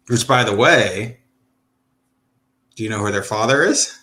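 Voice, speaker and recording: Bright-voiced man hosting a chatty podcast. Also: medium pitch. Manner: chatty, loose, many fillers and restarts. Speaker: podcast host. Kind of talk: casual chat.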